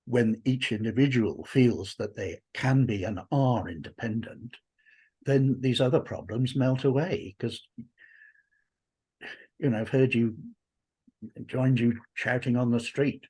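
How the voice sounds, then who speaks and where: A man's voice: mid-range voice, measured pace, pauses often, a little mellow, husky. A man speaking as an interview guest in a conversational way, with a somewhat theatrical storytelling manner.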